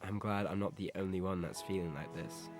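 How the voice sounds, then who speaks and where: A man's voice: low-pitched, fairly even intonation, a little fast, over music, lightly acted. A young man acting a laid-back teenage skater boy in a scripted audio drama, talking in casual slang. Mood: downcast, worried.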